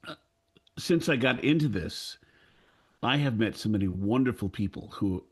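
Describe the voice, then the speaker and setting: A man of sixty-two speaking earnestly and off the cuff on a panel, haltingly, often repeating phrases. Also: medium pitch. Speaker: audio drama creator on a panel. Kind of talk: earnest unscripted panel talk.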